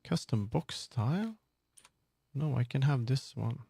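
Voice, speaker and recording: A man chatting casually on a livestream as he works, often halting and muttering to himself. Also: medium pitch, animated, vocal fry, mellow, slightly rough, no room sound. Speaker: livestreamer making a game. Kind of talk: casual livestream chat while working. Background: background music.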